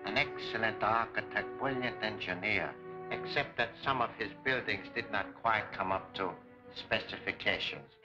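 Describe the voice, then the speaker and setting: An actor playing a suave, menacing Japanese mastermind in a 1940s film serial, speaking formally with cold, pompous courtesy. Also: male voice, lowish voice, moderate pace, natural voice. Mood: smoothly courteous. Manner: formal, grandiose, coolly courteous. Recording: dull 1940s film serial soundtrack, music.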